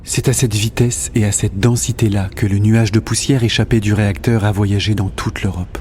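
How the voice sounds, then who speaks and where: A man acting as the grave narrator of an audio drama, reading literary prose in a measured way. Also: lowish voice, steady tone, a little mellow, a little hiss or hum, close to an everyday voice. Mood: ominous, detached. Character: grave omniscient male narrator. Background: music.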